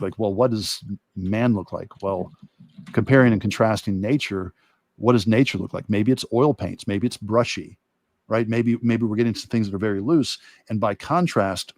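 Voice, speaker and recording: A man who teaches art, talking casually and thinking aloud in a halting way during a livestream demo. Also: on the low side, darkish tone, dry recording. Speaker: art instructor and illustrator.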